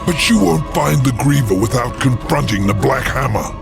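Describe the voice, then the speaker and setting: An actor playing an imperious, manipulative demon lord in a video game, with a deep, gravelly voice and a grandiose, commanding manner. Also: male voice, lively intonation, normal speed. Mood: contemptuous, commanding. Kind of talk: video game fantasy acting. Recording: dramatic music behind voice.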